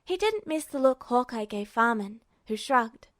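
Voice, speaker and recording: A woman with a fairly high voice narrating a story in a steady, storytelling manner. The recording is close and dry with no room sound. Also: a little slow, dry recording. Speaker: podfic reader. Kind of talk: scripted fiction narration.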